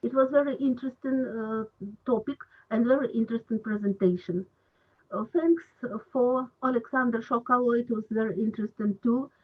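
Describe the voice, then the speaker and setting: Woman moderator, English, halting with fillers, slightly high voice, husky tone, tinny call line. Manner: halting non-native English, many fillers. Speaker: round table moderator. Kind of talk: online panel discussion. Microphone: video call.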